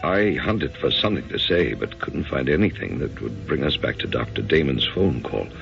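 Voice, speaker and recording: Uneasy American husband, very low creaky voice, swinging intonation, hesitant and earnest, old radio drama. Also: male voice, animated, over music, full character voice. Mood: uneasy narration. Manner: hesitant, halting. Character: bewildered, conventional middle-aged husband, uneasy and earnest. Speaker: actor and first-person narrator. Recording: dull, muffled radio drama recording.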